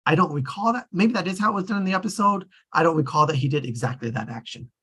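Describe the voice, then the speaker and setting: A man's voice: on the high side, expressive, fast, bright, forceful tone, breathy edge. A man hosting a casual group discussion, talking in a loose, rambling way that often trails off. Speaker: discussion host.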